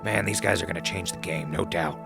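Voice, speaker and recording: A low-voiced, gravelly ghoul survivor played by a man hosts a wasteland radio show in an audio drama. He rambles casually and wryly, with prominent music behind him. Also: fairly quick. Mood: wry, chatty announcing. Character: weary wasteland ghoul survivor and radio host, sardonic but caring. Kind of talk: post-apocalyptic radio drama.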